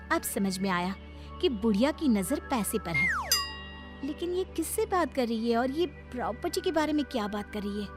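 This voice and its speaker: A woman voicing a suspicious, bold young daughter-in-law in an animated story, knowing and confrontational. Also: sits high. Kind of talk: animated Hindi story voice acting.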